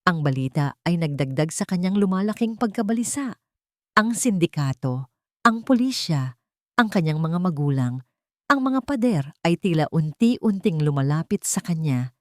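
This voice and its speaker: A woman's voice: on the low side, expressive, moderate pace, no echo, studio quality. A woman reading scripted, dramatic story narration.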